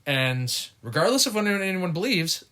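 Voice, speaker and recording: A man's voice: high-pitched, very animated, moderate pace, pushed and bright. A middle-aged man co-hosting a casual podcast with his wife, chatting in an easygoing, joking way. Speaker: podcast co-host. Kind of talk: casual chat.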